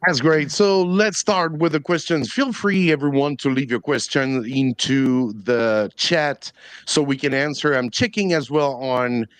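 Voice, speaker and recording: An enthusiastic male host running a live stream. He talks at an unhurried, deliberate pace, and his voice comes through dry and close with no room sound. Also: medium pitch, clear, resonant tone, no room sound. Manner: enthusiastic, hypes the audience. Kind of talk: upbeat live stream hosting.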